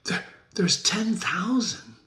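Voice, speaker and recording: A meek servant from a stage tragedy, voiced by a man reading aloud. The voice jumps up high and moves unevenly in pitch. He is nervous, hesitant and deferential toward his master. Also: very animated, slow, bright, forceful tone, exaggerated voice. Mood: frightened. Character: nervous, meek male servants reporting to their king. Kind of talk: dramatic reading of a stage play.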